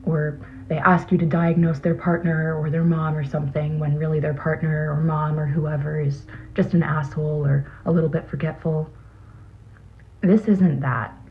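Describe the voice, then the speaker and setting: A troubled female botanist, played by a woman, confides in an old friend on a recorded message. Her voice is low, hesitant and intimate. The tape is muffled and lo-fi, with faint noise under the dramatic monologue.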